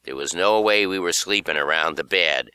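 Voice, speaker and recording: A man's voice: deep voice, moderate pace, bright, forceful tone, clean tone. A man narrating children's horror fiction in a theatrical, suspenseful audiobook style.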